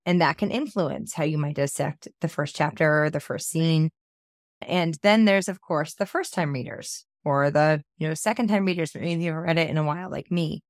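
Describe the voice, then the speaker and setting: A woman's voice: deep voice, normal speed, no room sound, clean studio sound. A woman in her thirties hosting a podcast, upbeat and enthusiastic, her voice a little scratchy from a cold. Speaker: podcast host, book coach. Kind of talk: podcast intro and casual chat.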